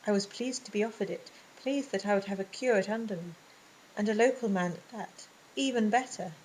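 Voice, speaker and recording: A woman voicing a stern, ponderous middle-aged male vicar, speaking in a measured, disapproving way. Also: a little mellow, slight background noise, natural voice, poor audio. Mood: cold. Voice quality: low and ponderous. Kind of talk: audiobook reading.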